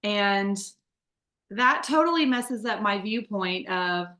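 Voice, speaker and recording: A woman's voice: medium pitch, lively intonation, slow pace, pushed and bright, echoey room. A woman in her forties from the Midwest, sharing her life story as an interview guest in a candid, chatty way with many fillers and restarts. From Midwest United States. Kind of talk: conversational testimony.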